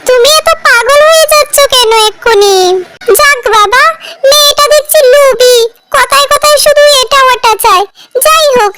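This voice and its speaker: An actor voicing a lovesick, excitable little male cartoon ghost in a squeaky, childlike voice with colloquial speech. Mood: eager, grumbling.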